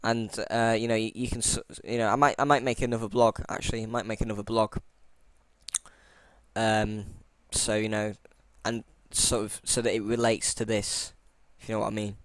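Rambling young-sounding man, smooth dry voice. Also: on the low side, little pitch movement, moderate pace, dry recording. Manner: rambling, lots of fillers and restarts; self-deprecating. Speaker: tutorial channel host. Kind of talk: casual screen-recording tutorial.

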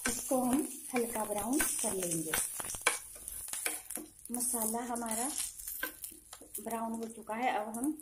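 A woman calmly talking through a home cooking recipe step by step, in a patient, instructive way. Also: fairly high pitch, takes it slowly, pauses often, very noisy. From Himachal Pradesh, India. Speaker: home cooking channel host. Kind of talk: step-by-step cooking demonstration voice-over. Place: home kitchen.

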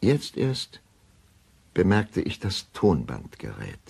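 An actor playing a troubled middle-aged male doctor, narrating a crime radio play in a grave, measured, deliberate way. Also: fairly low pitch, gravelly, darkish tone, husky, heavily acted. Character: troubled male doctor recounting a suspicious death. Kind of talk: first-person radio drama narration.

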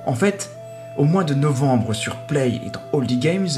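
A man's voice: medium pitch, expressive, a little slow, music in the background. A man playing out a comic phone conversation in a casual, hesitant, rambling way. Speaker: radio show host. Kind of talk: comedy sketch phone conversation.

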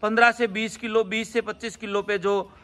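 A man's voice: high voice, level tone, moderate pace, fairly bright tone. A man interviewing at a farm, with an agreeable style and frequent affirming interjections. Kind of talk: casual interview.

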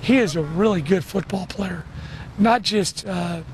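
A man's voice: high-pitched, a little slow, crowd noise. A middle-aged man, a football coach, answering in an interview outdoors on a practice field. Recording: clear outdoor field background noise.

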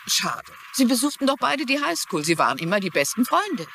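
An actress playing a kindly, shrewd middle-aged crime writer in a German-dubbed mystery drama, speaking politely and inquisitively. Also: female voice, fairly high pitch, sing-song, a little fast, creaky, fairly bright tone, a little hiss or hum, full character voice. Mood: friendly, inquisitive.

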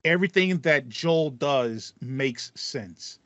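A man's voice: medium pitch, animated, slow, a bit bright, no echo. A man in his thirties chatting casually as a podcast co-host, rambling enthusiastically and repeating phrases, on slightly muffled call audio. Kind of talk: casual chat about video games. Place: small home room with acoustic foam. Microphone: headset, video call.

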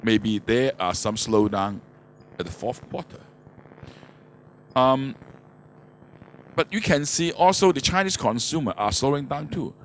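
A man's voice: mid-range voice, expressive, unhurried, long pauses. An older man speaking as a business panelist on a handheld microphone, explaining in non-native English phrasing with grammatical slips. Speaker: manufacturer speaking as conference panelist. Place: conference stage, indoors, before an audience. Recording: noisy handheld mic in conference hall.

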